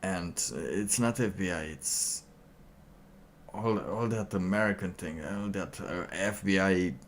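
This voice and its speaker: A man livestreaming a casual monologue in a halting, rambling way, with phrases that trail off. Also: deep voice, slight background noise.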